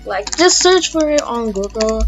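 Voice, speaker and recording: Slow-paced, high-voiced young-sounding woman tutorial host. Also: expressive. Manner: informal, thinking aloud while demonstrating. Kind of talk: casual voiced software tutorial. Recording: dull lo-fi mic, background music.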